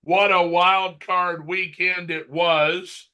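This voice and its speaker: A man's voice: high-pitched, flat delivery, slow, pushed and bright, clear, resonant tone. A man hosting a casual sports podcast while under the weather, his voice worn out, with a dry, teasing way of talking. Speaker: podcast host.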